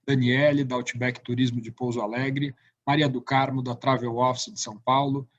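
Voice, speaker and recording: A man with a Brazilian accent hosts a travel talk show and leads the interview. His voice is husky and breathy. He ends many statements with "né?", and the audio sounds dull and lo-fi. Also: medium pitch, level tone, moderate pace. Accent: Brazilian Portuguese. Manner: warm-up tags "né?" after statements. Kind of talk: hosting an interview.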